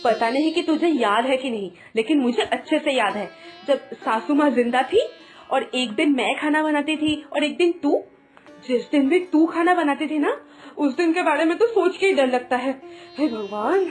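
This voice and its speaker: A woman's voice: sits high, lively intonation, moderate pace, soft background music. A woman playing a bossy, mocking elder sister-in-law who scolds and taunts theatrically in a cartoon story. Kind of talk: Hindi animated moral story acting.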